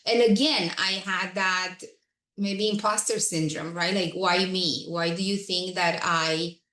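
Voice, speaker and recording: A woman's voice: fairly low pitch, steady tone, unhurried, bright, forceful tone, reverberant, poor audio. A woman from Romania, a guest telling her career story in an interview with frequent laughs. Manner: reflective, laughs often.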